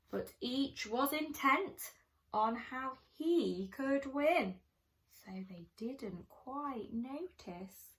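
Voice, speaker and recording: A woman reading a children's picture book aloud expressively, with dramatic pauses and chatty asides to young listeners. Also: sits high. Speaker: primary school storyteller.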